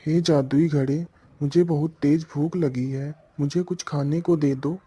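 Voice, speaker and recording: A man's voice: on the high side, steady tone, a little slow, dark tone, husky. A man voicing a humble, good-natured younger brother from a village in a story cartoon, speaking earnestly. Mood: pleading. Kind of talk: Hindi moral-story cartoon dialogue.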